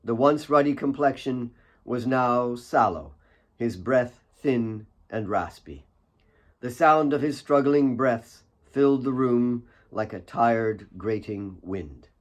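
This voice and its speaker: A man reading his own fiction as an audiobook, with solemn, deliberate dramatic narration. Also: medium pitch, muffled recording.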